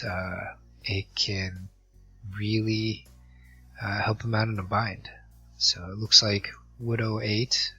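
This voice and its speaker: A hoarse man with a cold commentating an online card game match, reading out card text with many halting fillers. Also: lowish voice, pushed and bright, no room sound. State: hoarse from a virus. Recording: dull lo-fi mic with faint music.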